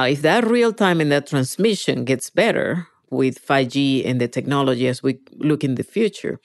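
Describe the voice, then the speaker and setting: A woman's voice: low-pitched, no echo, studio quality. A middle-aged woman from Venezuela with a Venezuelan accent and Spanish as her first language, giving emphatic, conversational interview answers and repeating phrases for stress. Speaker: interviewee, virtual reality engineer.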